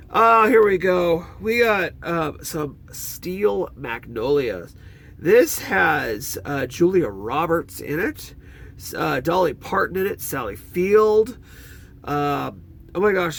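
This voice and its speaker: A man with a congested, stuffy nose rambles through his movie collection, his pitch jumping all over the place. He talks slowly, with a gravelly edge in his voice. Faint background noise sits under his voice. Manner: chatty, rambling, lively swings in pitch. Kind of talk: casual collection walkthrough.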